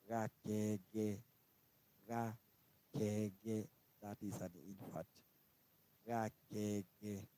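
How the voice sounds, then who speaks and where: A man from Kenya with a Kenyan accent, giving a casual language lesson and slowly repeating each word. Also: fairly low pitch, mellow, no echo, low-quality recording. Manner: slow, repetitive, spells out each word twice. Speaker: language teacher. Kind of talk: informal live-stream language lesson.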